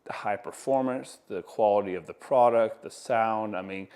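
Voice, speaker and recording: A man's voice: on the low side, moderate pace, a little mellow, clear, resonant tone. A man giving a casual, enthusiastic product demonstration as an installer, with folksy, colloquial sales patter. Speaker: audio installer presenting products.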